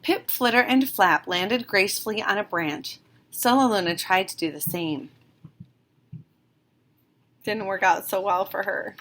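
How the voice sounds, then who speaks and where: Female storybook reader, mid-range pitch, expressive read-aloud narration for children. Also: unhurried, long pauses.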